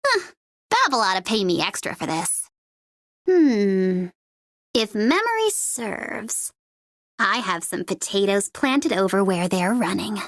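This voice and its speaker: Cocky, animated young female mercenary. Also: mid-range voice, slow, dry recording. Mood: dry. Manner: taunting, sardonic, playful. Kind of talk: video game character voice lines.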